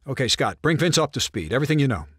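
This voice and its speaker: A man acting as a composed, brisk military commander giving clipped, authoritative briefings in a video game cutscene. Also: mid-range voice, very animated, a bit bright, husky, dry recording, studio quality. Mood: brisk, focused. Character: composed, brisk military intelligence commander running covert operations.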